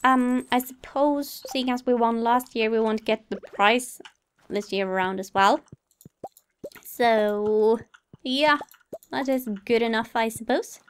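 A woman's voice: fairly high pitch, slow, slight background noise. A woman giving casual, polite gameplay commentary as a let's play host, chatting to herself and the game. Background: game music.

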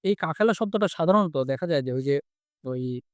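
A man's voice: fairly high pitch, expressive, moderate pace, no room sound, clean studio sound. A man with a Bangladeshi Bengali accent teaching vocabulary conversationally, repeating phrases and asking check questions. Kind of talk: interactive vocabulary lesson, explaining and quizzing.

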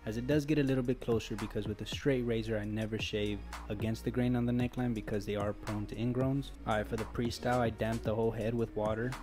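Flat-voiced barber explaining over music. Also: male voice, fairly low pitch, quick pace, hardly any pauses. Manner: steady step-by-step explaining. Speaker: barber presenting a tutorial. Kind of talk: instructional voice-over. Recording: voice-over with loud background music.

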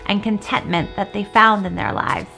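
A woman host narrating a story in a calm, measured voice-over. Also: medium pitch, vocal fry. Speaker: host and interviewer. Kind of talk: scripted voice-over narration and brief interview replies. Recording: faint music beneath.

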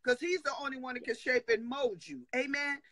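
Fiery high-voiced woman preaching on a webcam. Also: steady tone, a little fast, pushed and bright, no echo, clean studio sound. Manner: emphatic, declaring, with call-and-response asides. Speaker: host of a live prophetic talk stream. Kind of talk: fiery preaching-style talk. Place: indoor room at home. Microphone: webcam on a live video call.

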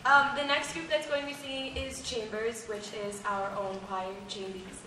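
A high school girl who is losing her voice, haltingly introducing her choir's next songs at a school concert. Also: flat delivery. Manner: halting, soft-spoken announcing. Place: school auditorium. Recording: echoey hall, distant mic, audible room noise.

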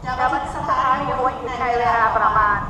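A woman making formal, measured railway station announcements phrase by phrase over a public address loudspeaker. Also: high voice, fairly even intonation, over a noisy crowd. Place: railway platform, heard from afar. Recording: echoing distant station loudspeaker, noisy ambience.

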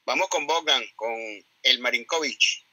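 A man hosting a live audio room, thanking speakers and calling the next one in a courteous, brisk way. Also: medium pitch, sharp tone, no echo, rough recording. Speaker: host.

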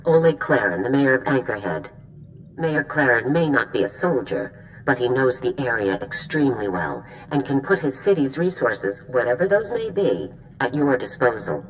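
A woman's voice: very deep voice, big pitch swings, normal speed, a little hiss or hum, rough recording. A woman voicing a stern, formal, authoritative female military general in a video game. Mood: commanding. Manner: formal, commanding. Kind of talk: video game voice acting, mission briefing.

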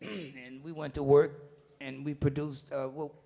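A man's voice: fairly high pitch, level tone, a little slow, gravelly, dark tone. Plainspoken New singer in his fifties. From New Orleans. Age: 50s. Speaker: vocalist. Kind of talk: onstage interview at a festival.

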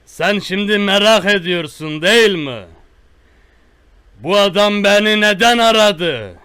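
A man playing a menacing, boastful rustic tough guy who threatens a caller with slow, repetitive, drawn-out threats on a one-sided prank call. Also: high voice, expressive, pushed and bright, clean tone, no room sound, exaggerated voice.

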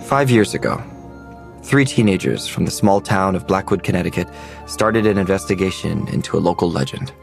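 An actor playing a grave, measured narrator of a tragic investigation in an audio drama. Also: male voice, deep voice, gravelly, faint music behind. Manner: solemn, measured. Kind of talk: scripted documentary-style narration.

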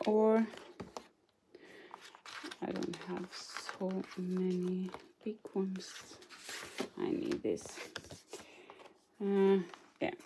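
Slow, mellow Norwegian woman showing crafts. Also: lowish voice, a little hiss or hum. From Norway. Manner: chatty, hesitant, apologetic, giggles now and then. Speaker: craft channel host. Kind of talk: casual show-and-tell of a scrapbook album. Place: indoors at a craft table.

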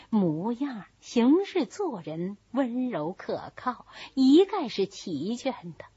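A woman reading a novel voices an older, scheming wife of a wealthy master, speaking in a soft, coaxing manner. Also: mid-range voice, slightly rough, no echo, lo-fi sound, exaggerated voice. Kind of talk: storybook character reading.

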